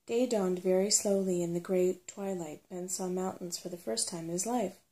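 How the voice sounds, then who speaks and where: A woman's voice: on the low side, fairly even intonation, moderate pace, dark tone. A woman reading a children's fantasy novel aloud as ASMR storybook narration. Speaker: storybook reader.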